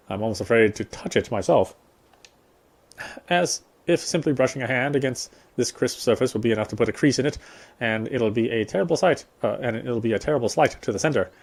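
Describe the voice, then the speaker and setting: A man with a medium-pitched voice reads out the narration of a story game. He goes through it in a measured storytelling manner, like a player sharing the text with viewers. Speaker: gameplay streamer reading aloud. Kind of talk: reading story narration aloud.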